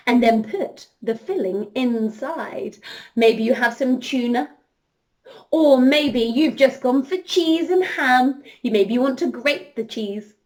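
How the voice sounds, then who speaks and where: A woman storyteller leading an interactive children's story, expressive and encouraging, speaking directly to her young listeners. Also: high-pitched. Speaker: children's storyteller, princess entertainer.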